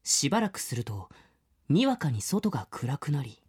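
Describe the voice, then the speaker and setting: A woman voicing an earnest, skeptical middle-school boy in an audio drama, acting his part and narrating his story with a husky voice. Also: sits low, very animated, normal speed, a little mellow, exaggerated voice. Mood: reflective narrating.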